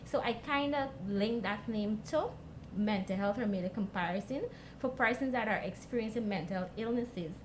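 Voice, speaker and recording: Guyanese woman telling her story over clear noise. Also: medium pitch, normal speed, hardly any pauses. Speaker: guest, youth mental health advocate. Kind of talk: personal storytelling in an interview. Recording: clearly noisy background.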